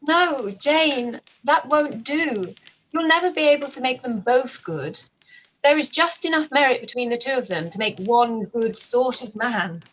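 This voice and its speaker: A woman playing a witty, sharp-minded young woman with a dry, wry delivery in a live-read radio play. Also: high voice, expressive, measured pace, a little hiss or hum, poor audio. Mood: earnest.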